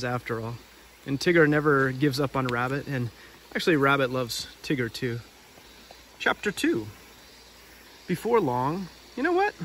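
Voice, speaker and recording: A man's voice: medium pitch, a bit bright, a little hiss or hum. A man hosting a read-aloud outdoors, narrating a storybook with casual asides. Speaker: storybook reader and video host. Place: outdoors by a river.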